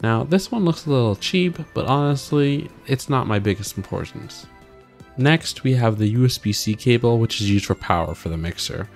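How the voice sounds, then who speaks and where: A man's voice: mid-range voice, animated, moderate pace, gravelly. A man doing an upbeat, scripted voice-over for a product review as a tech vlogger. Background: background music.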